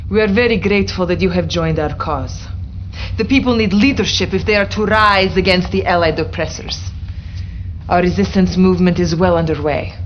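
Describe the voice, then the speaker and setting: An actress playing an earnest, determined Soviet resistance officer with a Russian accent, briefing solemnly in a video game. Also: female voice, fairly low pitch, lightly acted. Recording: dull audio with faint background noise.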